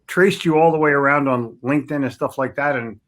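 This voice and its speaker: A man's voice: on the high side, a little fast, fairly bright tone. An American man chatting casually as a guest, with a blunt, joking, teasing manner. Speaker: interview guest. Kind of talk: casual chat.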